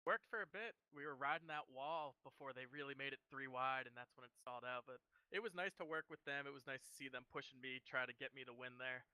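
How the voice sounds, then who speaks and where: A man talking as a race driver in a post-race interview, halting and casual with many fillers. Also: medium pitch, sharp tone, clean tone, no room sound, low-quality recording.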